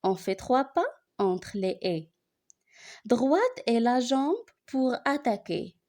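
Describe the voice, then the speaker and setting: Slow, high-voiced woman reciting poetry. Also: animated, darkish tone. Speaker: reciter of a school poem. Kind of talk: expressive poem recitation for learners.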